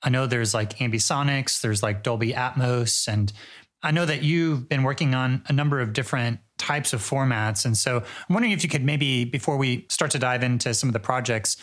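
A man's voice: mid-range voice, brisk, a bit bright. A man hosting a podcast, introducing a guest and asking loose, casual questions with many fillers. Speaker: podcast host.

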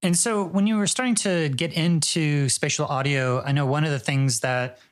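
A man's voice: a little high, moderate pace. Casual podcast host man. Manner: casual, filler-heavy questioning. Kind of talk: podcast intro and interview questions.

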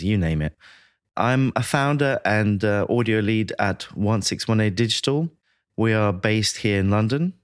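A man in his thirties, raised in Latvia, answers interview questions in a measured, explanatory way. His voice sits fairly low. The recording is dry and close. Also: no echo. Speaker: sound designer, guest.

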